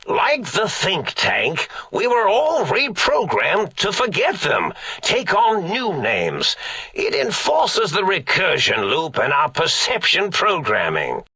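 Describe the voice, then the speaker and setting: Creaky, swooping mad old scientist. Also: male voice, a little high, bright, forceful tone, no room sound, heavily acted. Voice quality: raspy, creaky. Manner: theatrical, pompous, rambling, absent-minded. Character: eccentric, forgetful old mad scientist doctor, theatrical yet harmless. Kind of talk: video game character acting.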